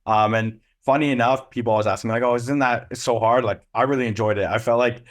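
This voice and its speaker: A man's voice: mid-range voice, fairly even intonation, quick pace. A young man from Israel, answering interview questions casually and loosely, with many ums and restarts. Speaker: agency co-founder and CEO.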